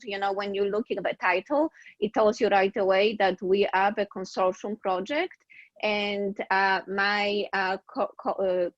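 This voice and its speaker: A woman's voice: medium pitch, no echo, muffled recording. A woman from Poland with a Polish accent, a university dean presenting her grant project in a webinar, with frequent fillers and restarts. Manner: halting, many fillers and restarts.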